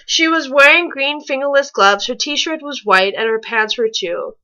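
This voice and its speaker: A woman reads amateur fiction aloud in a bright, fairly high voice. She trips over words, backs up to repeat them and slips in mocking comments. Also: expressive, moderate pace, muffled recording. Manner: stumbles over words, rereads lines. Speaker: reader on a fan fiction reaction show. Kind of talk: reading a story aloud with mocking asides.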